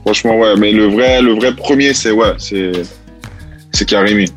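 A man's voice: lowish voice, fairly even intonation, faint music behind. A man who grew up in Italy, chatting casually as an interview guest, often restarting and searching for words. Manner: halting, many restarts and fillers. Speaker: rapper guest.

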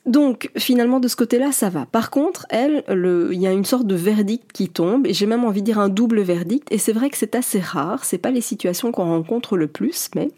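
A woman's voice: mid-range voice, a little fast, few pauses, mellow. A woman in her forties, a fertility coach speaking alone on a podcast, talking straight to the listener in an earnest, reassuring way. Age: 40s. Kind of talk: solo podcast monologue.

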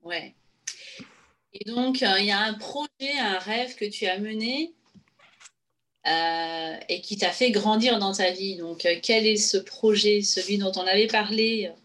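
A woman's voice: medium pitch, unhurried, fairly bright tone. A woman hosting a podcast interview, asking questions casually with a few fillers. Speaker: podcast host and coach.

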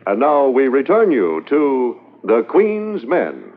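A man's voice: mid-range voice, huge pitch swings, slow, faint music behind, full character voice. A man announcing a 1950s radio drama in an emphatic, declamatory way, on a thin, tinny transcription.

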